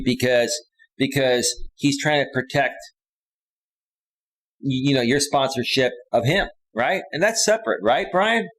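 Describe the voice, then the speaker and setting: A man's voice: medium pitch, low-quality recording. A man hosting a live stream, talking off the cuff and casually, with slangy, repetitive, insistent direct address. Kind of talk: casual off-the-cuff livestream monologue.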